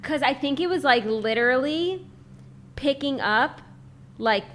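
A woman's voice: high voice, expressive, unhurried, fairly bright tone, a little hiss or hum. A blunt, passionate woman co-hosting a book podcast in casual chat, swearing freely. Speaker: podcast co-host.

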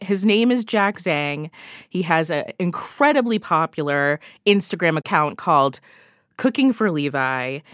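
A woman's voice: on the low side, a bit bright, no echo, studio quality. A woman guest on a radio podcast, recommending events in an upbeat way and laughing often. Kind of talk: casual radio chat.

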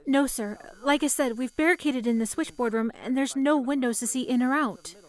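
Actress as high-voiced, anxious young telephone operator. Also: female voice, a little fast, lightly acted. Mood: calm, apologetic. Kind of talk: 1940s radio drama acting.

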